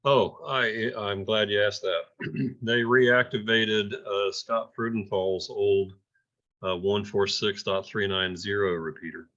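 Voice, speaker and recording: A man giving an informal report at an amateur radio club meeting, halting and hesitant, often repeating words, in dull, muffled audio. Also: lowish voice, fairly even intonation, fairly bright tone.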